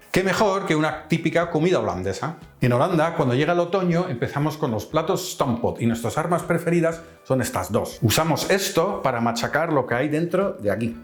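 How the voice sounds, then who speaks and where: Male cooking host, animated delivery over faint music. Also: fairly high pitch. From Netherlands. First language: Dutch.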